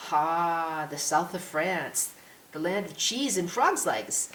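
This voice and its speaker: A woman's voice: on the low side, animated, normal speed, a bit bright. A woman voicing a genial English policeman in an audiobook, kindly, folksy and teasing with children. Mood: jovial teasing. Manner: jovial, avuncular, folksy. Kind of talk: audiobook character voice. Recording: roomy echo with clear hiss.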